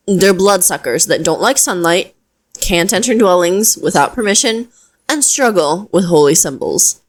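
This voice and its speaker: A woman's voice: mid-range voice, natural voice. Woman acting flustered, chatty young female wizard. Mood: explaining, reassuring. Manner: conversational, hesitant, expressive. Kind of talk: scripted audio roleplay addressed to the listener.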